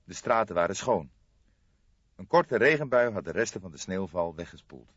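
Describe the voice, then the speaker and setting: A man's voice: low-pitched, animated, moderate pace, a bit bright, breathy edge, no echo, lo-fi sound. A man reading scripted story narration as an audiobook narrator, close to the microphone.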